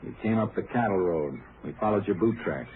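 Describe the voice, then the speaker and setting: A stern, steady lawman, acted by a man in a 1950s radio crime drama. His low voice is clipped and probing, coming through a thin, clearly hissy vintage recording. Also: brisk, close to an everyday voice. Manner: clipped, probing, matter-of-fact. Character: stern, steady Texas Ranger investigator. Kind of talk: old-time radio drama acting and narration. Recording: hissy, narrow-band 1950 radio recording.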